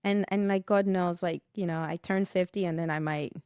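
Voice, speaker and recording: Animated middle-aged woman telling stories. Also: on the low side, moderate pace, clean tone, no echo, studio quality. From West Africa. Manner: animated storytelling, laughs often, acts out quoted voices. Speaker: podcast guest. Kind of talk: reflective conversation.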